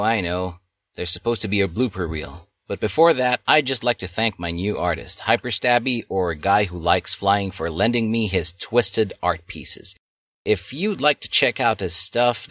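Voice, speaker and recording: Sarcastic man reading aloud, lively. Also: fairly low pitch, no room sound. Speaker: online story reader. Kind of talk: mocking comedic reading of a fan story.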